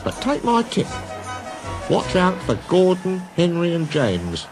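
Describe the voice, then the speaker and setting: A man voicing a friendly male shunting engine giving kindly advice, over loud music. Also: high-pitched, wildly swooping pitch, slow pace, voice pushed up, exaggerated voice. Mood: warning.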